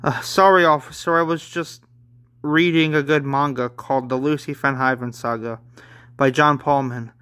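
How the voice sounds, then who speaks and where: A man's voice: medium pitch, steady tone, moderate pace, a bit bright, clean tone, natural voice. A man voicing a defiant, evasive young woman in a manga story, blunt and dismissive.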